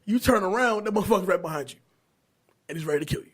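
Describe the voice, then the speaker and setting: A middle-aged American man hosting a casual podcast, telling stories in an animated, slangy, profane way. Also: sits high, racing, slightly rough. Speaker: podcast host.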